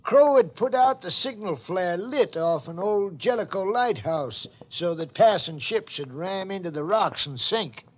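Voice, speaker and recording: An actor playing a folksy old village record keeper with rustic phrasing, in a 1940s radio mystery. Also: male voice, high-pitched, expressive, a little slow, few pauses, lo-fi sound. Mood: chatty reminiscing. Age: older.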